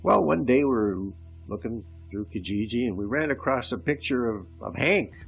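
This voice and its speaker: A congested, slightly hoarse man from Canada hosts a podcast from home, telling stories in a chatty way on an old microphone. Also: mid-range voice, expressive, moderate pace, faint music behind. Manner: conversational storytelling, with restarts. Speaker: podcast host. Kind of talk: casual solo podcast monologue. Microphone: old home microphone with hiss.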